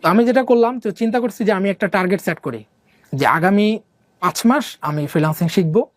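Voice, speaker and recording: A young man from Bangladesh recounts his own life story in a loose, rambling way, with plenty of fillers and English words mixed into his speech. He talks at a brisk pace. The recording is dry and close, with no room sound. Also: sits high, no echo. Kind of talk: casual conversational storytelling.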